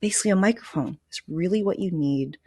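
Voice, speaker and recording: Low-key dry recording, woman podcast host. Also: fast, warm tone, slightly rough, no echo. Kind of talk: conversational podcast interview. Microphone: microphone plugged into a laptop.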